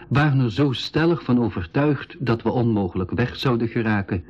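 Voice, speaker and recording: Casting: Flemish storyteller recalling an adventure, low slightly husky voice, scripted radio drama narration. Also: male voice. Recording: dull 1980s radio recording.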